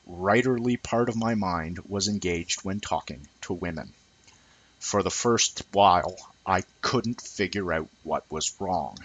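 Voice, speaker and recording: A man reading a scripted essay aloud with deliberate, emphatic phrasing and dramatic pauses. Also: fairly low pitch, fairly bright tone, slightly rough, dry recording, low-quality recording. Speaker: reader of a written essay.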